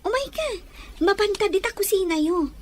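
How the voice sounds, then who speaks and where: Woman acting a very high-voiced, coy schoolgirl. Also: expressive, normal speed. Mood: eager. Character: coy, eager, lovestruck schoolgirl from a rich family. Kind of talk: radio drama acting.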